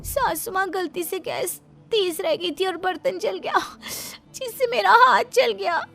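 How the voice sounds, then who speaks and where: A woman plays a meek young daughter-in-law in a cartoon tale. The voice is high and sharp, plaintive and pained, over faint music. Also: measured pace, creaky, full character voice.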